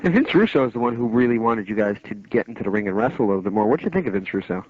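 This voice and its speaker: A man's voice: lowish voice, animated, very fast, background noise. A man hosting a radio interview, giving brief acknowledgments and asking probing questions. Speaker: host. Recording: tinny phone-like radio audio.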